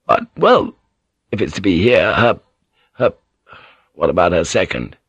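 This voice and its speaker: Man playing an uneasy, halting guard captain. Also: low-pitched, sing-song, a bit bright, slightly rough, no echo, dull audio, heavily acted. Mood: startled, uneasy. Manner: halting, questioning.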